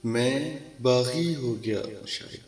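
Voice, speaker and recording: A man reciting his own ghazals as a poet, in a declamatory, deliberate manner, repeating lines with pauses. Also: mid-range voice, recorded from a distance. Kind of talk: slow Urdu poetry recitation. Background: music.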